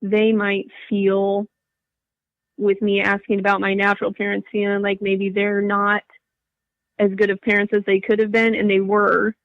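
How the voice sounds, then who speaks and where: A woman's voice: medium pitch, monotone, normal speed, no room sound, lo-fi sound. A young adult woman telling her personal story casually as an interview guest.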